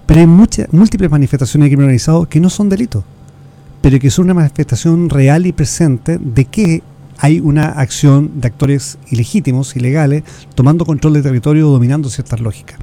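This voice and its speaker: A man's voice: on the high side, a little fast, mellow. A Chilean man with a Chilean accent, speaking as a guest expert in an earnest, lecturing way, asking rhetorical questions and restarting words. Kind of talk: explanatory interview talk.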